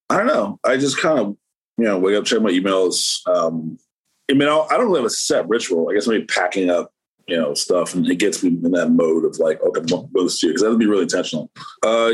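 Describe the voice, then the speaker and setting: A man with a lowish, husky voice chats loosely as an interview guest. He rambles, trails off and restarts often, filling gaps with ums and likes. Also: poor audio. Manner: rambling, many fillers and restarts. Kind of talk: casual interview conversation.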